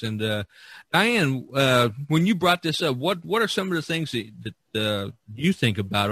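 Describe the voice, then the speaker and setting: A man hosts a casual podcast with a lively, swooping delivery. His voice is bright and forceful, with a husky rasp to it, and music plays behind him. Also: medium pitch, dry recording. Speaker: podcast host.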